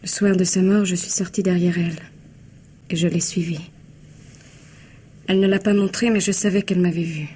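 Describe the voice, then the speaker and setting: A woman's voice: on the low side, steady tone, moderate pace, long pauses, mellow, breathy edge. An actress playing a passionate, defiant woman in love, wounded and stubborn, in a French radio play. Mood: haunted, confiding. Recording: muffled, noisy old radio drama recording.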